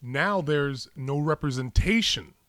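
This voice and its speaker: Higher-voiced man, dry close sound. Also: expressive, unhurried, fairly bright tone, dry recording. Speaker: podcast co-host. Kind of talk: casual conversational commentary.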